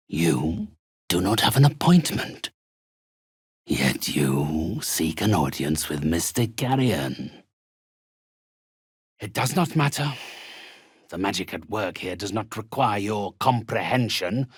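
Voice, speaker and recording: An actor playing a sinister, imperious undead necromancer in a video game, with grandiloquent phrasing and a creaky, breathy voice. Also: male voice, on the low side, fairly bright tone, dry recording, clean studio sound, exaggerated voice. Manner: imperious, grandiloquent, slow and drawn-out menace. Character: haughty, sinister undead necromancer and spirit medium, male. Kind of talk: theatrical video game voice acting.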